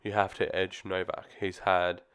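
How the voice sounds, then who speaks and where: Man, low flat delivery, smooth voice a touch worn from the flu, laid-back rambling vlogger. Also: normal speed. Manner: easygoing, rambling, frequent ums and restarts. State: getting over the flu, voice slightly off. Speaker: tennis commentary vlogger. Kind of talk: casual solo opinion talk.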